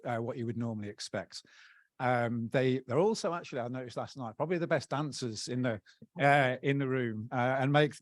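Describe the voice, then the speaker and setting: A man, the outgoing president of an association, giving upbeat, joking closing thanks to a conference, halting often to find his words. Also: medium pitch, dry recording, clean studio sound. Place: conference hall plenary.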